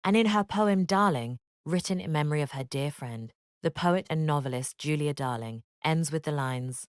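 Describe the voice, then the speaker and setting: A woman giving scripted educational narration in a measured, expressive read-aloud manner. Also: low-pitched, smooth, no echo, studio quality.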